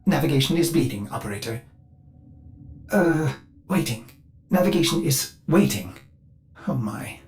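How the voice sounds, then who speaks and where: A man playing a fussy, polite, eccentric ship computer in a video game, prim and halting. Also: mid-range voice, expressive, darkish tone, a little hiss or hum, natural voice. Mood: prim, flustered. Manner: prim, halting, sing-song. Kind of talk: scripted video game dialogue.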